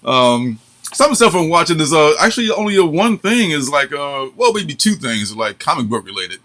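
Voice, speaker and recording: A man's voice: fairly high pitch, big pitch swings, moderate pace, pushed and bright. A man in his forties, co-hosting a casual chat. He talks in a chatty way, laughs often, and uses a lot of fillers. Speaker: co-host.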